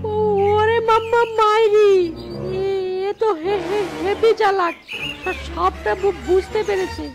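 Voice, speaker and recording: A woman voicing a sly, cowardly male fox thief in a cartoon, squeaky and stuttering heavily, wheedling in an exaggerated way. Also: level tone, slow, music in the background, full character voice. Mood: panicked. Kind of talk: cartoon voice acting.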